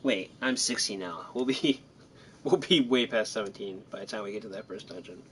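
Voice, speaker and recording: A man with a fairly low voice chats through a video game as he plays. His commentary is casual and rambling, with a self-deprecating streak. There is some noise behind his voice. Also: normal speed, fairly bright tone. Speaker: gaming streamer. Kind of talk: casual let's-play commentary.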